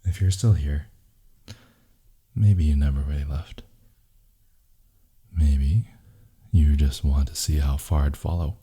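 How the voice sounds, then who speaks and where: A man playing a brooding, possessive, lovelorn boyfriend in an intimate ASMR roleplay, in a hushed, husky, gravelly voice that often slips into whispers. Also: a bass voice, fairly even intonation, full character voice. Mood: possessive, haunted. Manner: slow, hushed, intimate murmuring.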